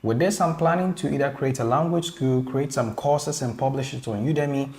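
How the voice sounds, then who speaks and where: A man's voice: mid-range voice, brisk, darkish tone. A man hosting a casual business tutorial, talking eagerly and speaking directly to his audience. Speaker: host.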